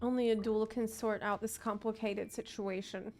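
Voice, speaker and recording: A woman's voice: a little high, little pitch movement, moderate pace, a little mellow. A woman game streamer giving live commentary, thinking aloud and reacting to what happens, with game music behind her.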